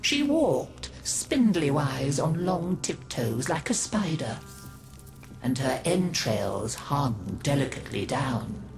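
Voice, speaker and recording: A woman's voice: rumbling low, sing-song, music in the background, full character voice, poor audio. A woman playing a theatrical old grandmother who narrates gruesome tales for a video game, savouring each phrase dramatically. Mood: macabre relish. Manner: savouring, dramatic, drawn-out delivery.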